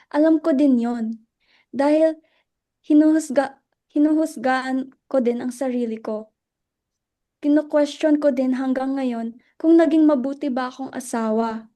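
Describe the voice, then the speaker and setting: A woman acting an anguished, high-voiced wife. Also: warm tone. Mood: pained, resigned. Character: anguished wife Luella, judged and worn out. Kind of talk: dramatic reading in Filipino.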